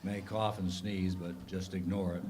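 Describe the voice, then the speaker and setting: A man's voice: sits low, flat delivery, noisy background. Older man lecturing with a cold. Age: 60s. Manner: measured, methodical lecturing with frequent ums and uhs. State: has a bad cold. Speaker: guest speaker, retired professor. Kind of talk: lecture to a club meeting.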